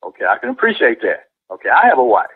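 Man, church deacon, husky voice, lively pitch, defensive, firm, repetitive phone argument. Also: fast, narrow, tinny audio. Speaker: church deacon, prank call victim.

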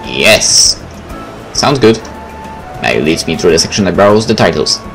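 A man's voice: sits low, expressive, normal speed, fairly bright tone. A man, a native Polish speaker, hosting a game let's play, reading aloud and commenting casually. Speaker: gaming video host. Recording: faint game music behind.

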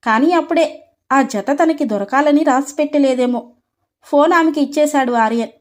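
A woman's voice: high-pitched, moderate pace. A woman storyteller reading scripted narration for a story.

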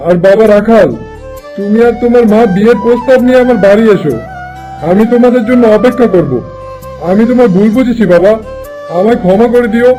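A man's voice: very high-pitched, brisk, warm tone, poor audio. A man playing a stern, scheming middle-aged landlord father in a cartoon fairy tale. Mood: relenting. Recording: music playing behind the voice.

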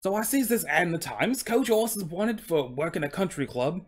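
High-pitched proud Cockney talking horse. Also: male voice, lively intonation, moderate pace. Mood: indignant. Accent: put-on Cockney. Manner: colloquial, deferential, boastful working-class patter. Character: proud, chatty, aggrieved male talking coach horse from London.

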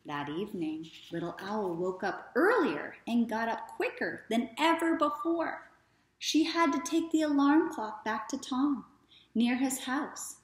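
A woman in her thirties reading a children's story aloud in a deliberate, expressive way. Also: on the high side. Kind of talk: children's read-aloud narration. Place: small living room, indoors. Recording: echoey living room.

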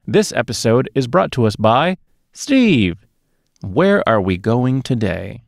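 A man's voice: mid-range voice, very animated, takes it slowly, vocal fry, no echo. A middle-aged man co-hosting a podcast, chatting casually about movies in an emphatic way, close to the mic. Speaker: podcast co-host. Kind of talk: casual movie review chat. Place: small dark sound-treated booth. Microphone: close podcast mic on boom arm.

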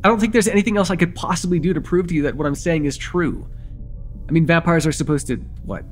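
A young man playing an excitable vampire in an immersive roleplay, casual and halting, insistent and teasing as he repeats himself. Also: a little high, soft background music, natural voice. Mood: insistent, pleading. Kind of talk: immersive ASMR roleplay acting.

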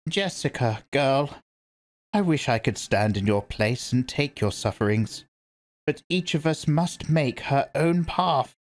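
A man reading aloud and voicing a stern, commanding old woman, a religious elder, in a sharp and lecturing manner. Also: on the high side, sing-song, unhurried, voice pushed up.

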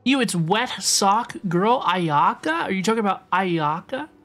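A woman's voice: medium pitch, animated, a little slow, fairly bright tone. A woman livestreaming a video game, chatting casually to viewers and often repeating her phrases. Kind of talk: casual livestream chat over gameplay. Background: game music.

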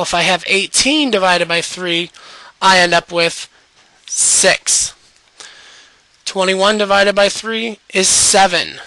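High, bright-voiced man teaching math, losing his voice. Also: no echo, low-quality recording. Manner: patient, guiding step by step. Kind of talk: screencast math lesson.

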